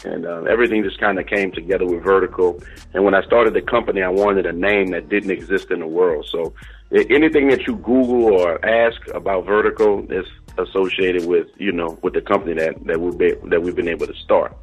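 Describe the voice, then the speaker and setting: Middle-aged guy, low husky voice, chatting in an interview, faint music behind him. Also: steady tone, fairly quick, low-quality recording. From New Orleans, American South. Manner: informal, uses "you know" and "bro" often. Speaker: interview guest, DJ and company owner. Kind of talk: conversational interview talk.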